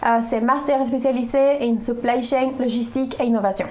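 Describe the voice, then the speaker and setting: Woman, higher voice, school manager, explanatory webinar talk. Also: steady tone, fast, dark tone. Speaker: business school international development manager. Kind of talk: webinar question-and-answer talk.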